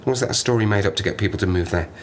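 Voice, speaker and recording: A man's voice: lowish voice, very fast, slight background noise, lightly acted. An actor playing a lonely, half-mad plane-crash survivor in an audio drama, rambling and self-mocking as he talks to himself. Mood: wistful, rambling. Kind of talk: audio drama monologue, diary-like.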